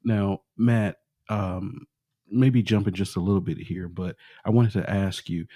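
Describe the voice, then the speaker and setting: A man's voice: low voice, fairly even intonation, measured pace, mellow, dry recording, studio quality. A man hosting a podcast, asking long, winding questions he explains at length. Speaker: podcast host. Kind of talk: interview questions.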